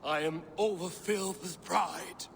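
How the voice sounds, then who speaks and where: A man's voice: vocal fry, a bit bright, heavily acted. An actor playing a proud Viking father returned from the dead, speaking grandly in a video game. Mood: proud, fond. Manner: slow, grand, declamatory. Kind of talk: dramatic video game acting. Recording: long hall reverb, faint rumbling noise.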